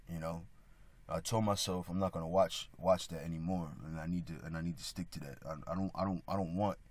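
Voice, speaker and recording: A man with a slightly raspy, hoarse voice giving a casual religious talk, halting with many restarts and frequent throat clearing. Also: sits low, no echo. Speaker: religious video speaker.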